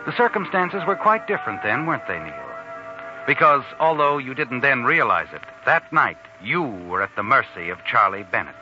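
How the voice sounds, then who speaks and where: An actor playing an ominous, insinuating narrator of a 1940s radio suspense drama, speaking straight to the protagonist. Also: male voice, mid-range voice, sing-song, moderate pace, highs cut off, natural voice. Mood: knowing, ominous. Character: knowing, ominous male narrator addressing the protagonist. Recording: thin old radio recording with music.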